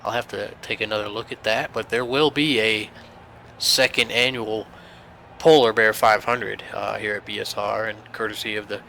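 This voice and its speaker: A man's voice: fairly low pitch, steady tone, normal speed, vocal fry, bright, forceful tone, a little hiss or hum. A young man commentating a sim race, congested from a sinus infection, chatty and rambling with many fillers. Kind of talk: sim racing broadcast commentary.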